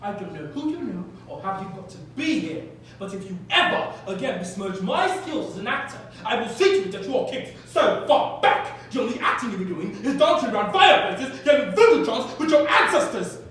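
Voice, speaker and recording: A man performing a pompous, hot-tempered male actor who shouts indignantly. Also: very high voice, sing-song, brisk, few pauses, pitched above the performer's own voice, exaggerated voice. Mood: furious. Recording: roomy live theatre, distant mic, noisy.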